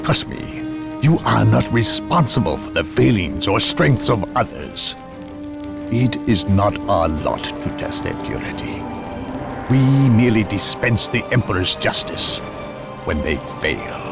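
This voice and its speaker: A man's voice: fairly low pitch, sing-song, a little slow, creaky, over music, heavily acted. A man playing a zealous, commanding inquisitor in a video game cutscene, speaking formally and with authority. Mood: grave reassurance. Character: solemn commanding male inquisitor, zealous, world-weary judge of men.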